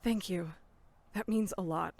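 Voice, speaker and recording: A woman's voice: fairly low pitch, measured pace, vocal fry, a little mellow, no echo. A woman acting in an audio drama as a level-headed young camp counselor, sincere, polite and matter-of-fact. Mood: grateful, purposeful.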